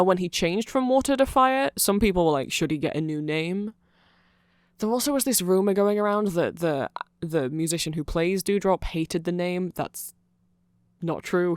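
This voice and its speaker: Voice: medium pitch, normal speed, clean tone, no room sound, clean studio sound. A person giving a casual, rambling ASMR explainer in a nerdy, chatty, self-correcting way, like an enthusiastic fan talking to the listener. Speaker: host explaining band lore to the listener.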